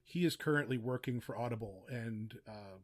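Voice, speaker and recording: A man's voice: mid-range voice, normal speed. A man speaking casually as a podcast guest, explaining audio production in chatty, meandering asides. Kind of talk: casual interview chat, giving practical advice.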